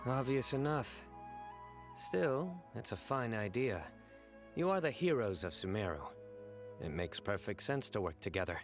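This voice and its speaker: An actor playing a composed young investigator in a video game, deadpan and formal, dryly proud of his puns. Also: mid-range voice, music in the background. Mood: calm, measured. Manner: deadpan, measured, formal phrasing.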